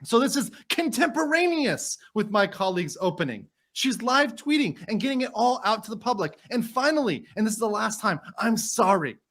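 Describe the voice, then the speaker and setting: A man's voice: high-pitched, very animated, normal speed, hardly any pauses, a bit bright, exaggerated voice. A man doing a dramatic reading as an indignant, courteous trial lawyer, formal and emphatic as he argues to a judge. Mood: insistent.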